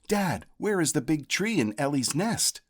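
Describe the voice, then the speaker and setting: An earnest young farm girl from a picture book, as a male reader plays her. The pitch is lifted and lively, and the delivery is pleading and urgent. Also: a little slow. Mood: tearful, pleading.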